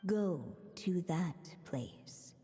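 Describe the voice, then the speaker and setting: A woman voicing a mysterious, stately storybook narrator in a video game, speaking in a measured, lyrical way with long echoing reverb. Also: on the low side, animated, dark tone. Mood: solemn, enigmatic.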